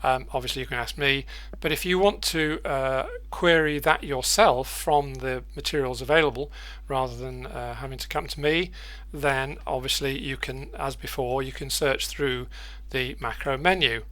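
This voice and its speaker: A man presenting an unscripted software tutorial, thinking aloud haltingly and repeating words as he goes. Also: medium pitch, steady tone. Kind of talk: unscripted screen-share tutorial.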